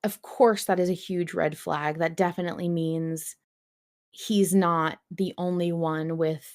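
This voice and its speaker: A woman with a slightly low voice talks as a podcast host. She speaks in a casual, informal way, as in a fan discussion, and swears lightly as she goes. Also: fairly even intonation, measured pace, darkish tone.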